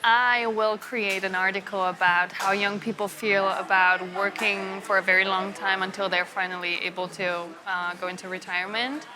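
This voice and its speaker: A woman's voice: medium pitch, steady tone, moderate pace, hardly any pauses, background noise. A young woman, chatting about her studies and journalism work. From Germany. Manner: chatty, thinks aloud. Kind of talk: casual interview answers.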